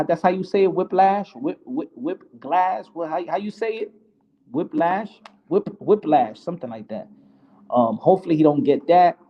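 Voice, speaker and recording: A man with a notably high voice hosts a loose, slangy boxing chat. Spanish is his first language, and he talks informally and jokingly, stumbling over and repeating words as he goes. The sound is somewhat dull and lo-fi. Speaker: host of a boxing commentary show. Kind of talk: casual live chat commentary with slang.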